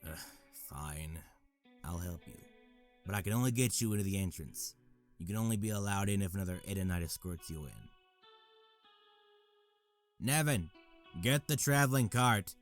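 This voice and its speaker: Low, grudging man acting a gruff guide. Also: lively intonation, normal speed, long pauses, faint music behind. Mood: grudging, planning. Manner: grudging, weary. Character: gruff, reluctant guide from a rival kingdom. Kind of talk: visual novel voice acting.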